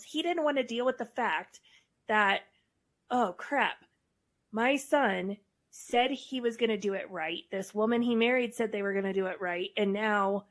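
A woman hosting a podcast, speaking casually and wryly as she paraphrases others. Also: fairly high pitch. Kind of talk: casual conversational commentary.

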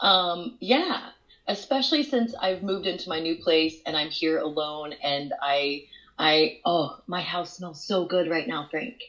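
A woman in her forties talks from home over a video call, her lower voice a little congested from a cold. She rambles into chatty stories and laughs as she goes. The call audio sounds muffled and lo-fi. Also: measured pace, fairly bright tone. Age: 40s. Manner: chatty, storytelling, laughs often. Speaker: co-host. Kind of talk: casual chat. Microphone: smart display video call.

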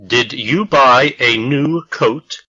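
A man reads English drill sentences very slowly, pausing between each phrase. His voice is bright and sharp, and the recording sounds a little dull and lo-fi. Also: medium pitch. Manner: slow, carefully spaced model sentences. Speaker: English lesson voice. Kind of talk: slow scripted language drill.

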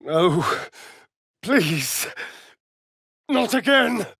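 A vain British vampire rogue for a fantasy video game. He drawls slowly and archly, swinging theatrically across his range with catty, dry wit. The recording is close and dry. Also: high voice, sing-song, gravelly, pushed and bright, husky, no room sound, exaggerated voice. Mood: exasperated. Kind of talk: dramatic video game acting.

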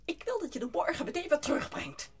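Haughty female governess, radio-play style: high, very animated, scornful. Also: fast talker, exaggerated voice. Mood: scornful, indignant. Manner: indignant, snobbish, disdainful. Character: stern, haughty governess, scornful of country children. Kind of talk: audio drama acting.

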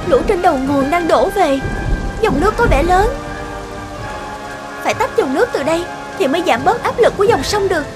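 A woman voicing a young, anxious river goddess who protects her waters, speaking earnestly in an animated fairy-tale dub. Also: extremely high pitch, normal speed, long pauses, music in the background. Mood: alarmed, urgent. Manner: earnest, dramatic self-talk.

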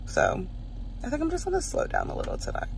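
A woman's voice: sits high, wildly swooping pitch, quick pace, gravelly, slight background noise. A woman in her thirties with an American accent, chatting casually and encouragingly to her viewers in a home cleaning vlog. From South Jersey, USA. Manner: chatty, encouraging, with many "like" fillers. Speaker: vlogger, stay-at-home mom. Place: parked car, then home.

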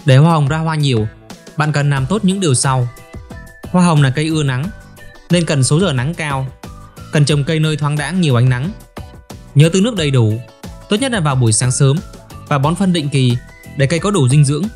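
Slightly high-voiced man, instructional narration. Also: fairly quick, pauses often, darkish tone, soft background music. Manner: orderly, instructional. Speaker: host of a gardening question segment. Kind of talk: scripted explanatory narration.

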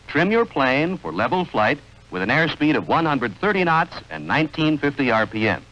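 A man reading scripted 1940s training film narration in a measured, instructional, clearly enunciated manner. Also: on the high side, big pitch swings, fairly bright tone. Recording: dull, band-limited vintage film soundtrack.

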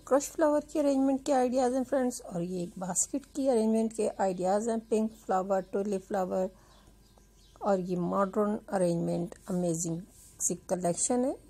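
A woman presents a slideshow in a chatty way, talking to her viewers like friends. Her voice is mellow and rather breathy, at a middling pitch. She tends to circle back and repeat her phrases as she goes. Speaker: video channel host.

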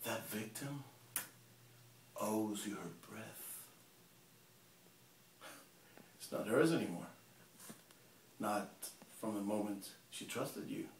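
A man playing a cold, menacing serial killer confessing in a halting, intimate stage monologue. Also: fairly low pitch, animated. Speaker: amateur actor performing a monologue. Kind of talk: theatrical dramatic monologue. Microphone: camera mic at some distance. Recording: roomy, distant camera mic, hissy.

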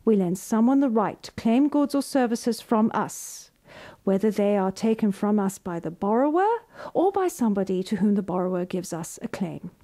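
A woman voice artist reading scripted narration in a measured, formal way. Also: medium pitch, expressive, mellow.

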